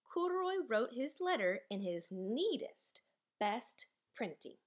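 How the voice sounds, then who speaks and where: A woman reads a children's storybook aloud. Her voice is fairly high and very animated, swooping up and down. She goes slowly and deliberately, stretching words out expressively. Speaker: storybook reader. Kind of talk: children's picture book read-aloud.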